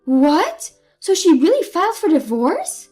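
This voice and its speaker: A woman's voice: very high voice, big pitch swings, measured pace, a little mellow, pitched above the performer's own voice, exaggerated voice. A woman voicing a harsh older mother-in-law who scolds shrilly. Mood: scolding.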